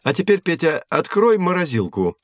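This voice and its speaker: An actor playing a patient male physics professor in an educational audio drama, explaining clearly and didactically. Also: on the high side, sing-song, normal speed, no room sound. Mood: brisk, demonstrating.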